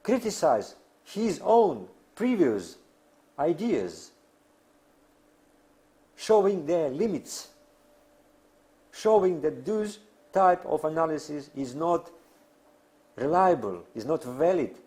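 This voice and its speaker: A man who is a non-native English speaker lecturing on theory in halting, deliberate phrases with emphatic pauses. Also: on the high side, big pitch swings, mellow. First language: not English. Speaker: academic lecturer.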